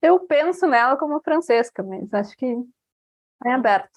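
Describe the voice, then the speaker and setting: A woman in her thirties with a southern Brazilian lilt, telling stories in a relaxed, rambling way full of little fillers. Her voice sits a bit high, and the recording is dry and close. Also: moderate pace, no room sound. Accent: Porto Alegre Brazilian Portuguese. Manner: chatty storyteller, laughs easily, many fillers. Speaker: novelist guest. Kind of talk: casual interview chat.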